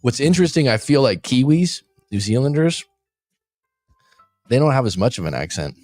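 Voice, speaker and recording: Lively man chatting on a livestream. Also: medium pitch, normal speed, no echo, faint music behind. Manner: animated storyteller, imitates voices in anecdotes. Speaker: livestream co-host. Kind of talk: casual chat. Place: lives abroad in Thailand.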